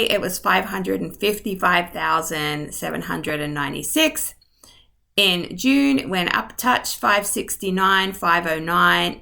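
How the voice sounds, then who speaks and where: Gravelly-voiced woman, friendly and casual. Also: medium pitch, pushed and bright. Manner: friendly, informal, with ums and asides. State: losing her voice. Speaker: real estate agent. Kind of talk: map tour narration over screen share.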